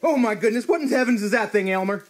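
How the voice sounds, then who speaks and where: Guy doing high pitched old country fella, fussy, exasperated, old radio comedy style. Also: fast, natural voice. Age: older. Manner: exasperated, folksy drawl grammar. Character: fussy, folksy old-timer hotel keeper man. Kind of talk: old-time live radio comedy acting.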